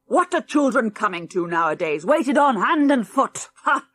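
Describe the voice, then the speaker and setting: Woman acting a cantankerous, shouting old great-grandfather. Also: fairly high pitch, very animated, normal speed, voice pushed up. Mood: indignant. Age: older. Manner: shouting, indignant, blunt.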